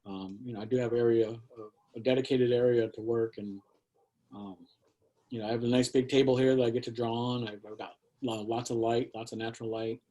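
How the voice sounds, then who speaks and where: A man's voice: medium pitch, little pitch movement, brisk, dark tone, rough recording. A middle-aged man talking casually on a video call from his backyard studio shed, rambling with many fillers and restarts. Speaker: guest artist. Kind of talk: casual conversational talk.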